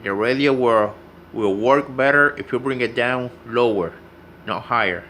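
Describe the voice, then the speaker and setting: A man's voice: medium pitch, measured pace, a bit bright, slight background noise. A man narrating an informal hands-on tutorial in a plain, instructive way, repeating his instructions as he goes. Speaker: radio repair hobbyist demonstrating.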